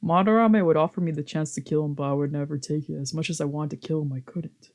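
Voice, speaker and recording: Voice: mid-range voice, fairly even intonation, fast talker, darkish tone. A streamer with a slangy, sweary way of talking, chatting casually and reading visual novel text aloud.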